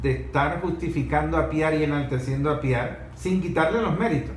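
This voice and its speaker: A man's voice: on the high side, brisk. A man in a conversational debate about ideas, arguing his points and posing rhetorical questions. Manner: argumentative, poses rhetorical questions. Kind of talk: conversational political-historical debate. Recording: echoey room with faint noise.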